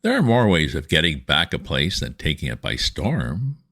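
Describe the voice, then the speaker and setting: Brief: severe older badger voiced by a man, deep, slow, commanding. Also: wildly swooping pitch, fairly bright tone, heavily acted. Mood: reassuring. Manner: severe, measured, then gruffly kind. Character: stern, respected older male badger.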